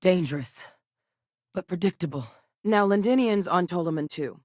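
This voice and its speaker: A level-headed woman scientist and seasoned field hand from a video game, speaking in a low voice. Her delivery is measured and matter-of-fact. The studio sound is close and dry, with no room around it. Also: lively intonation, a little mellow, no room sound. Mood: steady. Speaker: video game companion character. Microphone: studio microphone.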